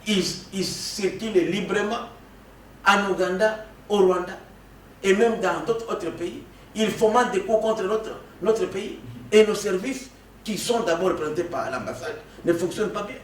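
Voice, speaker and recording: A man's voice: high-pitched, a little slow. Emphatic man in an echoey room. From Democratic Republic of the Congo. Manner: emphatic, animated, with frequent restarts and stammers. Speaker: political guest. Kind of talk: political interview. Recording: echoey room, distant mic, faint noise.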